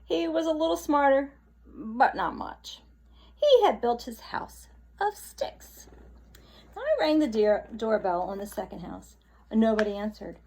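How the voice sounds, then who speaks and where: Woman playing an aggrieved storybook wolf, highly animated. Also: full character voice. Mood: animated storytelling. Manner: exaggerated, drawn-out storytelling emphasis. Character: male storybook wolf, chatty, aggrieved, self-excusing narrator. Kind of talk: children's picture book read-aloud.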